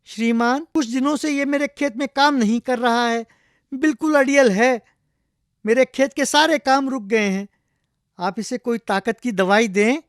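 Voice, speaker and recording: A man's voice: very high-pitched, moderate pace, no room sound, studio quality, pitched above the performer's own voice. A man voicing an exasperated farmer in a comic folktale, respectful but full of complaint. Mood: pleading. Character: exasperated male farmer, pleading and stubborn.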